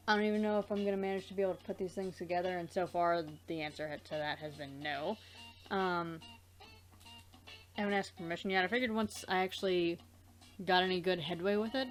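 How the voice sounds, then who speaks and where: A woman hosting a let's play, chatting casually in a rambling way that often loses its thread. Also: lowish voice. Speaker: let's play host and amateur voice dubber. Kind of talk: casual rambling gameplay chat.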